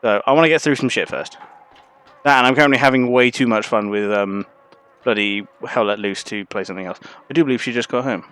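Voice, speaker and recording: Brief: male streamer, loose and sweary, casual commentary over gameplay, middling pitch. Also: quick pace, a bit bright, clean tone. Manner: chatty, swears freely.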